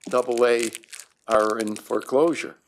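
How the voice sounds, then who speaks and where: A man's voice: mid-range voice, fairly even intonation, moderate pace, slight background noise. A man making casual remarks as a participant in a committee meeting.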